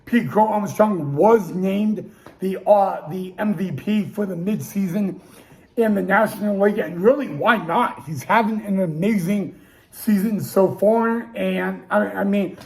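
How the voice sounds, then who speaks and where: A man's voice: high-pitched, measured pace, gravelly, darkish tone. A young man hosting a casual sports talk show, losing his voice and restarting often with stammered repeats. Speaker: podcast host.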